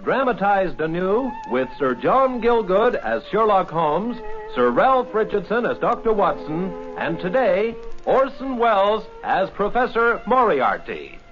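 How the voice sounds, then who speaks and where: Vintage radio announcer: male, high, animated and declamatory, over music. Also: measured pace. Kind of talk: formal 1950s radio announcing. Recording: muffled old radio recording.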